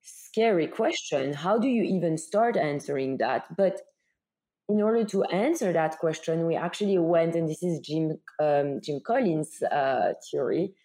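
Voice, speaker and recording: A Belgian-accented woman speaks as a founder being interviewed. Her voice sits a little low and has a dark, mellow tone. She explains conversationally, often posing questions and checking in with short tags. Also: unhurried.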